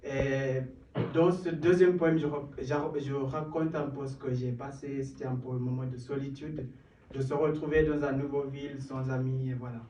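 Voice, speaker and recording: Young poet in a roomy live hall. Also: male voice, mid-range voice, fairly even intonation, normal speed, dark tone, a little hiss or hum. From Kenya. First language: English. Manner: rhythmic, building recitation with self-deprecating asides. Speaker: performance poet. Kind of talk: spoken-word poetry at an open mic. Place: small stage in a cellar venue. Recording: roomy, distant live room sound.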